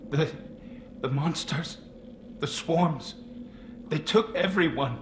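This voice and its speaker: A man playing a nervous, delirious young alien pilgrim in a video game, muttering in halting, repetitive fragments. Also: on the high side, slight background noise. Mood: bewildered, fearful. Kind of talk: video game cutscene acting.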